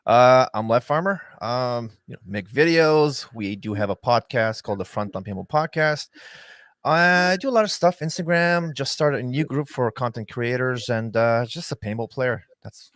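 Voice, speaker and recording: A man's voice: mid-range voice, expressive, normal speed, a bit bright, no room sound, studio quality. A man with a French Canadian accent, originally from Lebanon, chatting casually and modestly as a guest on a show. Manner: laid-back, modest, laughs easily. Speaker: guest, paintball player and video maker. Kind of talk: casual interview chat.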